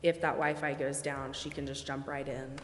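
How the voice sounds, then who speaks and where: A woman's voice: rumbling low, level tone, normal speed. A woman on city staff explaining things informally at a council meeting. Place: council chamber. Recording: echoey council chamber with noise.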